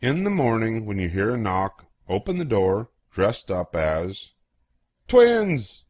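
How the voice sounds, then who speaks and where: An older man with a fairly low voice reads a picture book aloud to young children. His delivery is playful and expressive, with big swoops in pitch and a slow, unhurried pace. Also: dry recording, studio quality. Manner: playful, acts out exclamations and questions.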